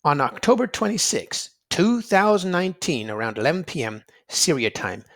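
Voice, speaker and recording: Male narrator for a kids' read-aloud book: lively, slightly high voice, emphatic and explanatory. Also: normal speed. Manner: emphatic, explanatory, addressing young listeners.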